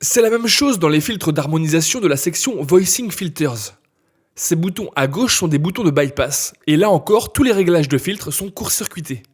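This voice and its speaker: Higher-pitched husky male tutorial narrator. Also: lively intonation, moderate pace. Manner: clear step-by-step instruction. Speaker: instructor.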